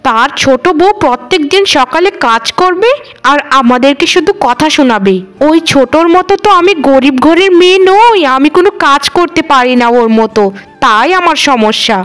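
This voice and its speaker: A woman's voice: high voice, lively intonation, moderate pace, a bit bright. A woman voicing a lazy, snobbish, conniving cartoon daughter-in-law, grumbling and smug. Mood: grumbling, scornful. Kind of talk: Bengali cartoon voice acting.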